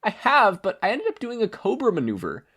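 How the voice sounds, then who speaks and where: A man voicing a cheeky, curious teenage boy hero in an audiobook, playful and excitable. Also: high-pitched, fairly quick, voice pushed up, full character voice. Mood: exasperated.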